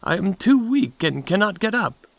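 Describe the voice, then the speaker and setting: A feeble old storybook grandmother, acted by a man, very high and sickly. She calls out frailly with a swooping, animated pitch, recorded dry. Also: moderate pace, dry recording, heavily acted. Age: older. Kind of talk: storybook character voice.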